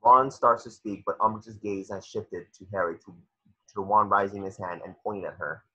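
A man with a husky voice narrating screenplay stage directions at a table read over a video call. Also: lowish voice, steady tone, normal speed, bright, forceful tone, lo-fi sound.

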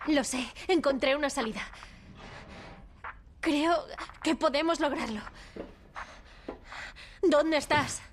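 A woman's voice: high-pitched, takes it slowly, long pauses, a little hiss or hum. A woman playing a protective, brave young female survivor in a dubbed horror game. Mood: urgent.

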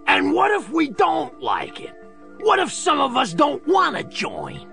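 A man's voice: very high voice, huge pitch swings, measured pace, gravelly, bright, forceful tone, faint music behind, full character voice. An actor playing a whiny, brash small-time gangster henchman in an audio drama, in a cartoonish manner. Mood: defiant.

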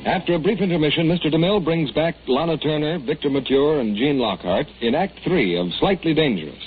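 An American man announcing and reading a scripted sales pitch as on 1940s radio. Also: lively intonation, brisk, music in the background. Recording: tinny, faintly noisy 1940s radio recording.

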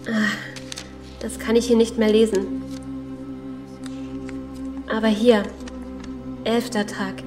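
Woman acting grave, higher-voiced survivor over music. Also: little pitch movement, takes it slowly, long pauses. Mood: grave reading. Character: serious, grim woman survivor, steady and defiant. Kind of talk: German audio drama acting.

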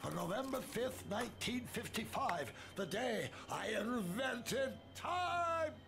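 A man playing an excitable, eccentric older inventor in an animated video game, theatrical and full of exclamations, with a creaky voice. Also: extremely high pitch, slow, full character voice. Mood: exultant. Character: excitable eccentric older male inventor, toy cartoon figure. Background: loud music.

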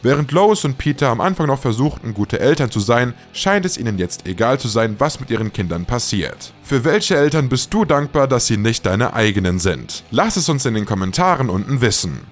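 A man's voice: mid-range voice, very animated, a little fast, few pauses, faint music behind. A man reading a scripted voice-over as the narrator of a countdown video.

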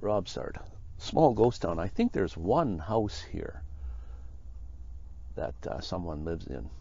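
A man casually narrating his walk through abandoned houses, easygoing and halting, with frequent chuckles. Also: low voice, sing-song, vocal fry, dark tone, highs cut off. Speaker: vlogger. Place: outdoors in a rural ghost town and inside abandoned houses.

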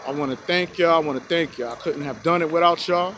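A man in his forties vlogging outdoors into a phone held at arm's length, giving excited, preachy sports opinions and calling out "y'all" to his viewers. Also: a little high, quick pace, slight background noise. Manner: hyped, preachy, keeps saying "y'all". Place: outdoors by a rocky hill in a park. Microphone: phone selfie camera at arm's length.

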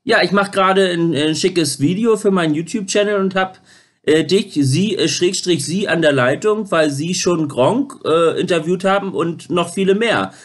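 A man in his thirties rambling through an informal phone interview, halting and repeating his words, recorded indoors at home. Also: high voice. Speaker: YouTuber making a phone call on camera.

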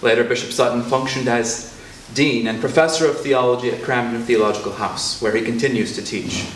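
A man's voice: mid-range voice, steady tone, fairly quick. A young American man, a priest, giving a formal introduction that is partly read out. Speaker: officiating priest introducing the preacher. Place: church sanctuary, indoors. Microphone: camera several metres away. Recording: echoey church, distant mic, faint noise.

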